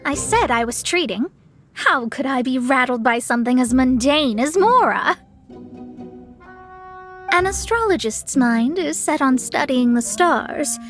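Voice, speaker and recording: A woman's voice: high-pitched, animated, measured pace, fairly bright tone, soft background music. A woman playing a proud young astrologist in a video game, formal and lofty but easily flustered. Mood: haughty.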